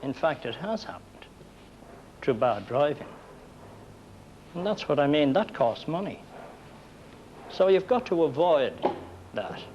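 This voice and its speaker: An Irish man lecturing trainees as a mechanics instructor, speaking deliberately in short broken phrases, on a 1960s film recording. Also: fairly high pitch, big pitch swings, darkish tone. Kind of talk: explanatory classroom lecture. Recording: muffled, noisy 1960s film sound.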